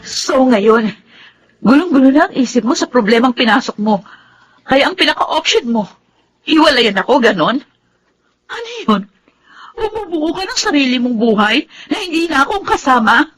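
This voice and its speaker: Hurt wife, radio soap; high, very animated voice, fed up with her husband. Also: moderate pace. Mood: hurt, accusing. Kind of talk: Tagalog radio drama acting.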